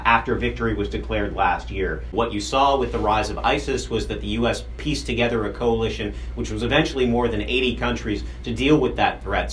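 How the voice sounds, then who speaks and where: A man reporting as a defense correspondent, explaining policy in a measured, explanatory way. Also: mid-range voice, slight background noise. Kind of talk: news analysis.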